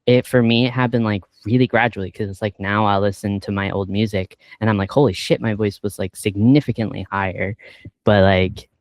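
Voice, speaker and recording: A man hosting a casual interview, speaking in a relaxed way with lots of filler words. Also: fairly low pitch, a little fast, dry recording. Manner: relaxed, filler-heavy, many likes. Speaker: interview host.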